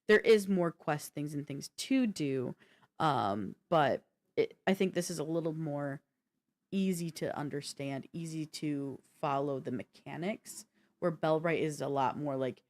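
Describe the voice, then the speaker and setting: A woman's voice: deep voice, a little slow, darkish tone. A woman co-hosting a video game podcast, chatting casually and explaining things in an informal way. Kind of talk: casual gaming talk show chat.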